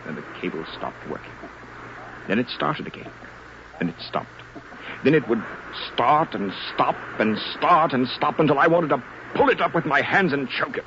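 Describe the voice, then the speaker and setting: A man playing an exasperated, determined businessman in a 1950s radio drama. His delivery is emphatic and swings widely in pitch. The old recording is muffled, with clear noise behind the voice. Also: animated, normal speed, lightly acted. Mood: frustrated, weary. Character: driven, exasperated businessman leading an ocean cable venture.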